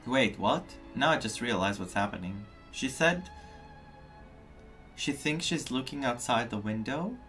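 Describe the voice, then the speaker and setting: Slow-paced man streaming a game. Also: mid-range voice, expressive, fairly bright tone, soft background music. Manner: musing, reacting aloud. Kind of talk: casual live game commentary. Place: indoors, live stream with game audio.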